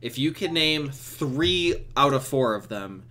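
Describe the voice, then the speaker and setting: A young man chatting and telling stories casually on a voice chat, in an animated, exasperated, sweary way. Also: mid-range voice, takes it slowly. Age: young adult. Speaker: streamer on a group voice chat. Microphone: computer mic on a voice chat. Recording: noisy voice chat with clicks.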